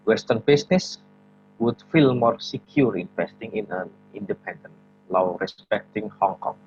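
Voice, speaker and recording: A young man with an Indonesian accent reading a class presentation, halting and stumbling over words, asking aloud how to read some of them. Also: on the low side, animated, a little mellow, a little hiss or hum. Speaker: student presenter. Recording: dull lo-fi audio with faint music.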